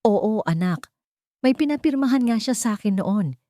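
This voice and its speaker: A woman's voice: mid-range voice, lively intonation, normal speed, dark tone, no echo, studio quality, pitched above the performer's own voice, close to an everyday voice. A woman playing an anxious elderly mother, pleading. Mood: anxious, confiding.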